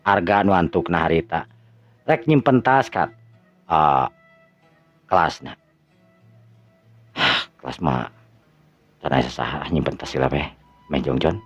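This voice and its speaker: Low male Sundanese storyteller, slow and drawn out, lo-fi audio. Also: fairly bright tone. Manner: slow drawn-out narration with stumbles. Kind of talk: Sundanese folk tale narration. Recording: dull lo-fi audio with faint music.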